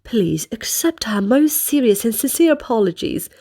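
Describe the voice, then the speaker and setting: A woman's voice: on the high side, lively intonation, normal speed, warm tone. A woman voicing a pompous, fussy male restaurant manager in a read-aloud storybook, speaking in a grand, overly formal way.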